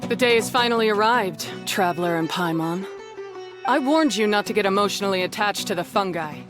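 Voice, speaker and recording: A woman's voice: medium pitch, a little fast, music in the background, natural voice. A woman playing a proud, cold young beast tamer rival in a video game, with a stern, clipped delivery.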